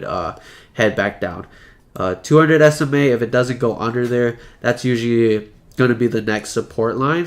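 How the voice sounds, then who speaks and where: A young man with a stuffy, sick voice, talking casually through a chart tutorial with many "uh" fillers. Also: mid-range voice. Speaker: trading channel presenter. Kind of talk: screen-share chart tutorial.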